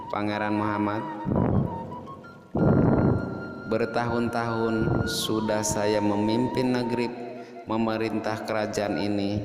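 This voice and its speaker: A man voicing a dignified, yearning unmarried queen in a storytelling drama, with formal, measured, courtly speech. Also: lowish voice, close to an everyday voice. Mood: solemn, regal. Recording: echoey long reverb, music behind.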